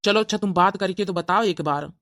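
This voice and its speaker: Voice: medium pitch, brisk, fairly bright tone, dry recording, natural voice. A performer playing an earnest, devoted young village man with an Awadhi accent in a family cartoon. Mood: pleading, earnest. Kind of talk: family drama cartoon dialogue.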